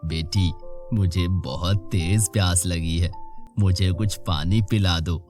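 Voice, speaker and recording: Elderly cartoon holy man, played by a woman: polite, pleading, thirsty, deep mellow voice. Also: steady tone, slow, faint music behind. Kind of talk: animated children's moral story.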